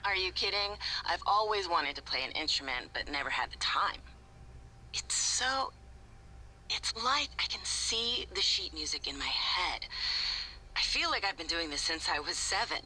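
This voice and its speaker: An actress playing an earnest, playful, slightly awkward woman on a space station in a video game, expressive and a little hesitant. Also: fairly low pitch, moderate pace, a little hiss or hum, exaggerated voice, rough recording. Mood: excited, dreamy. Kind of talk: naturalistic video game voice acting.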